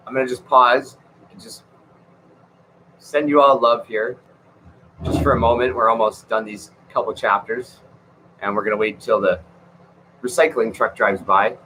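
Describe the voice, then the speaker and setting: A man reading a classic text aloud on his front porch, earnest and gentle, with spiritual asides. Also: fairly low pitch, background noise.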